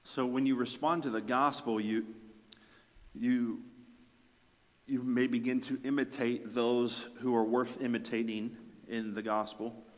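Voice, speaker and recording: A man delivers a sermon in a medium-pitched voice. He leans on repeated phrases, moving between quieter explanation and forceful emphasis. His words ring with the long reverb of a large hall. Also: fairly even intonation, unhurried, long pauses. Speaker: pastor preaching.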